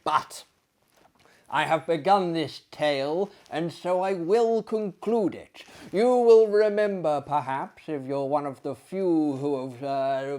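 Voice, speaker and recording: A man's voice: a little high, warm tone. A man telling a story in a hammy, dramatic manner with formal phrasing and chatty asides. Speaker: storyteller, performer.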